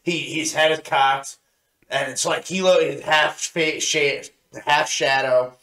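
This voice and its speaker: A man's voice: on the high side, fairly even intonation, slow pace, pushed and bright. A man co-hosting a review show, talking casually with blunt opinions, recorded in a roomy, echoey space. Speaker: podcast co-host reviewing anime.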